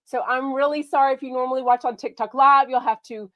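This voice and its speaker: A woman from Texas, hosting a casual gossip livestream. She has a slight cold that makes her sound nasal, and she talks in a bubbly, excitable way. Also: sits high, fast, dry recording, lo-fi sound. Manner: bubbly and excitable, lots of "like" and "oh my gosh". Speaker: podcast host.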